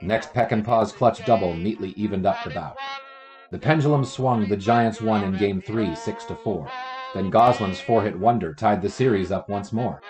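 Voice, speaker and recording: An actor playing a wry, self-assured 1920s sportswriter in an audio drama, with a dry, measured manner. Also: male voice, fairly low pitch, music in the background, lightly acted, low-quality recording. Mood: poetic reciting. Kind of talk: period audio drama acting.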